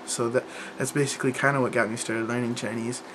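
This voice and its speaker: A man's voice: medium pitch, little pitch movement, fast, background noise. A young man chatting casually to camera about learning languages, rambling, with lots of fillers and restarts. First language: English.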